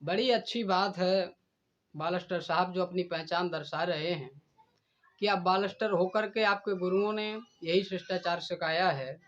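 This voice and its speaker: A man delivering a stern, deliberate admonishing speech in a preachy manner. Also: high voice, fairly even intonation, fairly bright tone. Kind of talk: admonishing speech addressed to a rival. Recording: dull, lo-fi recording.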